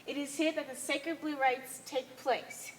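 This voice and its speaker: Stage play: woman plays haughty cultist, slow puzzled delivery, faint background noise. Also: high-pitched, steady tone. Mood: serene. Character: haughty, literal-minded blue cult member. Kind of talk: amateur one-act stage play acting. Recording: faint noisy stage ambience.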